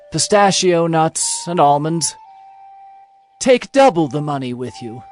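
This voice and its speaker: A man voicing an aged, grieving father who speaks in slow, mournful pleas. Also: a little high, a little mellow, lightly acted. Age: older.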